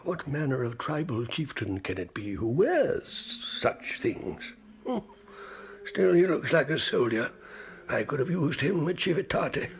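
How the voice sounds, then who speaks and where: An actor playing an ailing, imperious and dry-witted old medieval pope in a radio drama, halting and stately. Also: male voice, on the high side, sing-song. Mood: curious, musing. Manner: halting, stately, wryly fastidious. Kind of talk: radio drama acting and first-person narration.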